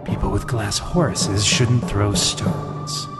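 Mysterious courteous crime fighter, low voice, deliberate, old radio drama, music behind. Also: male voice, steady tone. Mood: cool, wry. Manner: dry, deliberate, unflappable. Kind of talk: old-time radio drama acting parody. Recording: echoing hall, music behind.

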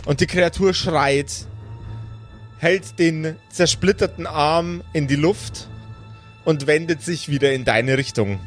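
High-voiced man as game master, music behind. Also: slow pace. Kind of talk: improvised narration of a tabletop game. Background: music under the voice.

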